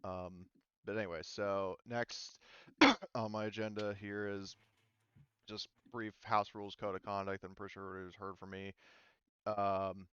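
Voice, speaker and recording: A man acting as game master, explaining tabletop game rules to a group in a casual, halting, informal way. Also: fairly low pitch, flat delivery, fairly bright tone, smooth, dry recording, muffled recording.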